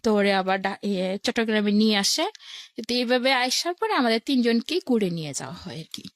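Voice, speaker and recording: A woman's voice: on the high side, animated, brisk, no room sound, clean studio sound. A woman with a Bangladeshi accent telling her own life story as an interview guest, in plain colloquial words, step by step.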